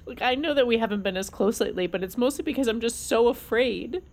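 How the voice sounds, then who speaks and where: A woman acting an anxious, protective older sister in a fiction podcast, earnest and halting when emotional. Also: fairly high pitch, slight background noise, lightly acted. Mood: tearful, afraid.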